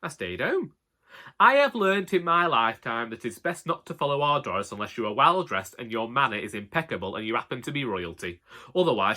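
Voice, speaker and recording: A man playing a long-suffering elderly Northern English husband, telling a comic monologue in a wry, deadpan way. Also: medium pitch. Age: older. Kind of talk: comic scripted monologue narration.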